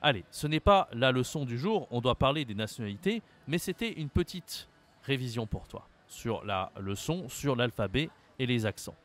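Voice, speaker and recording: A man's voice: medium pitch, sing-song, normal speed. A man from France teaching a French lesson, speaking patiently, repeating words and spelling them out letter by letter. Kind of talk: slow, carefully articulated language lesson.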